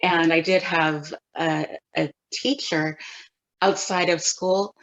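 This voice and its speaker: A middle-aged woman from California, a photographer answering interview questions in a thoughtful, measured way with pauses. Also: lowish voice, fairly even intonation, a bit bright, poor audio. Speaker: guest photographer.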